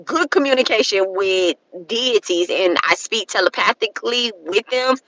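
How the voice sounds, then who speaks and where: A woman in her thirties talks loosely and frankly about spiritual matters, circling back and repeating herself. Her voice is bright and forward. The sound is dry and close. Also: mid-range voice, expressive, moderate pace, no room sound, highs cut off. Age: 30s. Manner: rambling, repeats herself, adds "okay?" often; frank and profane, colloquial phrasing. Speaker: spiritual content creator. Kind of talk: casual spiritual talk to viewers.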